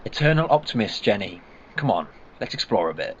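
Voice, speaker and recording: A man's voice: fairly low pitch, very animated, fairly quick, slight background noise. A man voicing a brusque, impatient time-traveling alien. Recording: dull lo-fi recording.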